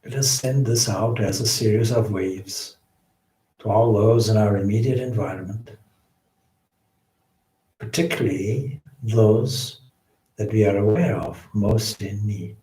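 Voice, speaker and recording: Slow, low-voiced man guiding meditation. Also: warm tone. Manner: measured, solemn phrasing with frequent pauses. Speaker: swami leading a guided meditation. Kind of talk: guided meditation. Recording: roomy, echoing indoor sound.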